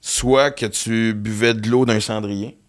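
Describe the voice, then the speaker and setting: A man's voice: lowish voice, steady tone, slow. A man hosting a casual podcast chat, swearing freely and telling rambling stories. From Western Canada. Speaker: podcast host.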